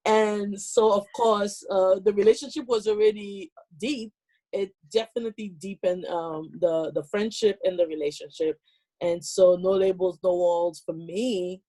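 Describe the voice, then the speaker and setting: A woman's voice: mid-range voice, fairly even intonation, poor audio. Woman from Haiti telling a story. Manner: warm storytelling, many fillers and pauses. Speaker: meeting participant. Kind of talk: personal story on a video call. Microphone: video call.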